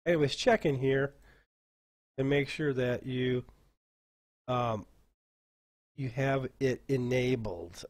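A man walks listeners through a casual screen-share tutorial for game masters. His voice sits at an ordinary middle pitch, and he talks off the cuff. His delivery is halting and rambling, as he thinks aloud. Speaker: dungeon master teaching a software class.

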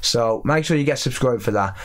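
Young man, slightly raspy, chatty repair vlog. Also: mid-range voice, a little fast. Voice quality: slightly raspy voice after illness. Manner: chatty, self-deprecating, thinks aloud while working. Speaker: electronics repair YouTuber. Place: cluttered repair workshop, indoors.

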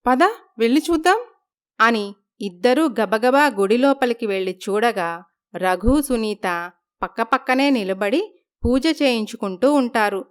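A woman narrating a scripted family storybook tale at a steady pace. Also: fairly high pitch, unhurried, clean tone.

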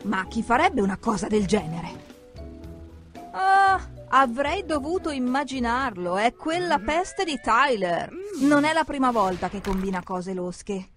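A woman's voice: on the high side, unhurried, over music. A woman narrating a children's cartoon from a script, expressively, speaking straight to the characters. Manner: expressive, addresses characters directly.

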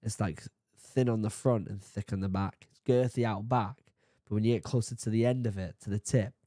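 A man in his thirties from the UK, a bit hoarse from being sick, chatting casually as he reacts to a video and reads labels aloud, excited now and then. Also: lowish voice, fairly quick, no echo. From United Kingdom. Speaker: YouTube reaction host. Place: home study with bookshelves. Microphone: studio mic on boom arm, headphones.

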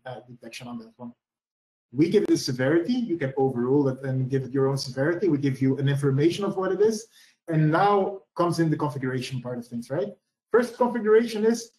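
Male presenter talking through a screen-share demo, slightly high voice, roomy echo. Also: darkish tone. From Belgium. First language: Dutch. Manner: explanatory, frequent "right?" tags and "uh" fillers. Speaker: security product presenter.

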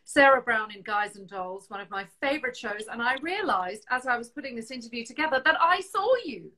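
Bright-voiced chatty South African woman host. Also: on the high side, expressive, brisk, rough recording. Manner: chatty, laughs often. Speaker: interview show host. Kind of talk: casual video-call interview. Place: home room with shelves. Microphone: video call webcam.

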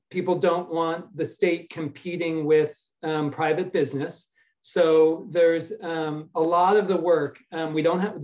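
Man, sixties, American accent, slightly high voice, measured and halting, official answering questions, video call. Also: a little mellow. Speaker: state ferries official at a town hall. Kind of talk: answering questions in a public online meeting.

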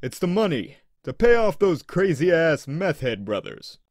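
Actor as a lively, friendly crew member. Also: male voice, a little high, a little slow, no room sound, studio quality. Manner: casual, familiar. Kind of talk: audio drama acting.

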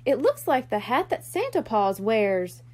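A woman voicing an excitable young boy kitten-mermaid in a children's storybook, eager and squealing. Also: on the high side, unhurried. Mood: excited.

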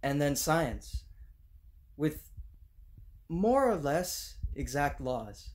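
A man playing an earnest, idealistic student in a dramatic monologue, halting and searching for words. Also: fairly high pitch, very animated, a little hiss or hum, exaggerated voice. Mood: thoughtful, halting. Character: earnest, idealistic, tongue-tied student recalling his teacher.

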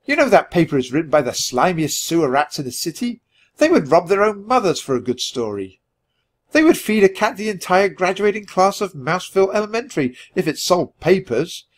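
A man voicing a nervous, excitable male mouse editor in a storybook, indignant and squeaky. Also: brisk.

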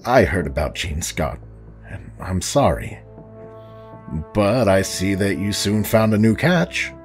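Brief: male actor as an apologetic pirate father, low voice, lively pitch, audio drama. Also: faint music behind. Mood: remorseful. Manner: earnest, halting and apologetic. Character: remorseful middle-aged space pirate father.

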